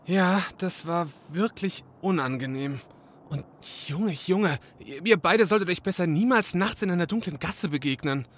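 An actor playing an excitable, chatty detective's assistant in a comedy audio drama. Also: male voice, high-pitched, moderate pace, slight background noise. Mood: relieved, chatty.

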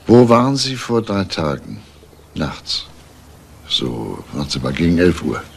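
An actor playing a calm, persistent police detective in a crime drama, speaking in a matter-of-fact, official way. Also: male voice, low voice, unhurried, creaky, a little hiss or hum, full character voice. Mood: firm, insistent. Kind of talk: German TV crime drama acting.

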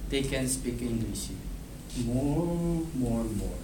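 Korean man in his thirties giving a class speech, slow and halting, taped from far across a roomy classroom. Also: medium pitch, lively intonation. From South Korea. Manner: halting, repeats and restarts words, gestures while reading. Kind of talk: reading a prepared class speech. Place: classroom in front of a whiteboard. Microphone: far camera. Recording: roomy, distant, noisy classroom audio.

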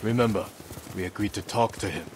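An actor playing a resolute samurai lord in a video game, speaking in a grave, measured, firm way. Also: male voice, on the low side, noisy background, natural voice. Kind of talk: restrained dramatic game dialogue. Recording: dialogue over loud game ambience.

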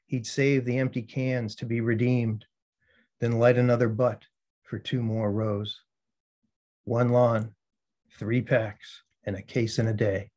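An American man giving a poetry reading, speaking as the poet presenting his own work in deliberate phrases. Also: mid-range voice, little pitch movement, darkish tone, dull audio. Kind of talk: poetry reading with spoken introductions.

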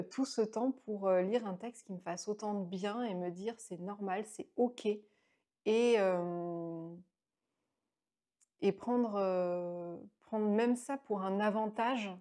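A woman's voice: mid-range voice, dark tone. A woman in her late thirties reviewing books to camera at home, chatty, with many fillers and restarts, on a lapel mic. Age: 30s. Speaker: book vlogger. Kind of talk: casual book review to camera. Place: small indoor room at home.

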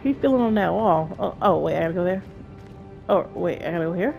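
A young woman streaming a game, chatty and excitable, her voice a bit low and gravelly and stuffed up from a cold. Loud game music runs beneath her talk. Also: fast talker, dull audio. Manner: chatty, excitable, rambling, self-talking. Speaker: gameplay streamer. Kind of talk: casual let's-play commentary.

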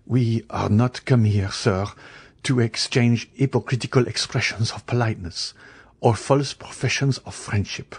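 A man's voice: mid-range voice, fairly even intonation, unhurried, husky. A man reading the part of an angry young viscount who trembles with fury. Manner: furious, tense, accusing.

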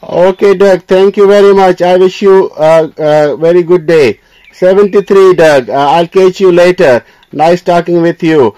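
A man's voice: sits high, a little slow, dark tone, low-quality recording. A man working as an amateur radio operator in a contact exchange, repeating phrases and call signs. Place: indoor radio desk.

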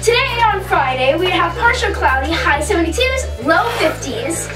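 A female student reading a school weather forecast in a halting, giggly way. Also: very high voice, big pitch swings. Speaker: weather reporter. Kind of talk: school news weather report. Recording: roomy, distant, music behind.

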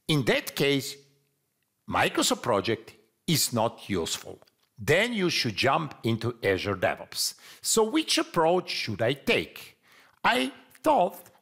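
Croatian accent guy doing a software tutorial, slow careful english, voice jumps up and down a lot. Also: a little high, husky. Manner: slow, deliberate, non-native phrasing with fillers. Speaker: software trainer. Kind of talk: screen-recorded tutorial.

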